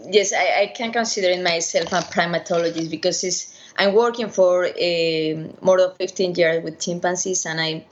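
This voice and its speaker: A woman's voice: on the low side, steady tone. Spanish-accented woman on a muffled call. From Spain. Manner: halting, many restarts and fillers. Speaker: veterinarian and primatologist guest. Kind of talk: remote interview answers. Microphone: video call. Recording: lo-fi internet call.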